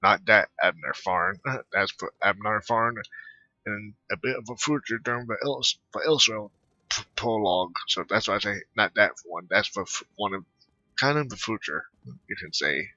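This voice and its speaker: A man narrates his video game playthrough in a very bright, tense, lowish voice. He rambles haltingly with muttered asides. The sound is dry and a little dull, with clicking. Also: dry recording. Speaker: gaming channel host. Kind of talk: casual let's play commentary, reading dialogue aloud.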